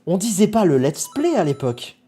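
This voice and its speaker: A young man reacting to his own old video on a live stream, animated, exclamatory and self-mocking. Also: sits high, moderate pace, slightly rough.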